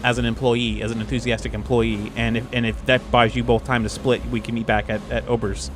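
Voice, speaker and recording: A man playing a tabletop game, talking through his plans casually and haltingly as he thinks aloud. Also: medium pitch, little pitch movement, noisy background. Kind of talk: casual in-character game chat.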